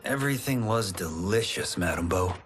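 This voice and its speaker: A male actor playing an earnest, good-natured young farmer who gently teases, in a cinematic video game. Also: low-pitched, expressive, normal speed, noisy background. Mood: friendly, careful. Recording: loud cinematic music behind. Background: game soundtrack music.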